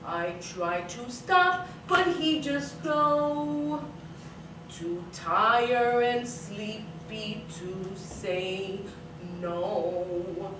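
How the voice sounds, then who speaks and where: A woman's voice: mid-range voice, animated, drawn-out words, music in the background. A woman playing a weary, comic Italian immigrant mother on stage, with a sing-song Italian accent and broken English. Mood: weary, pleading. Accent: Italian, put on. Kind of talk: broad comic stage acting. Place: small theater, live staged reading. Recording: echoey theater, distant mic, faint noise.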